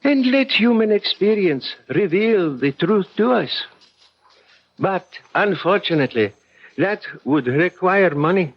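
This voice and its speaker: Lofty, high-voiced male guru. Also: animated, exaggerated voice. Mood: lofty, insinuating. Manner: courteous, measured, lofty. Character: smooth-talking male guru, courteous and grandiose charlatan. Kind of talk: 1970s radio mystery acting. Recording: muffled old radio broadcast recording.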